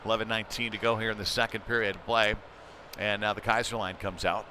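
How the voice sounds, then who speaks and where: A man's voice: on the low side, little pitch movement, fast, sharp tone. A man calling live ice hockey play by play in short, clipped phrases. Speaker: play-by-play commentator. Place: indoor ice rink. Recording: noisy rink ambience behind voice.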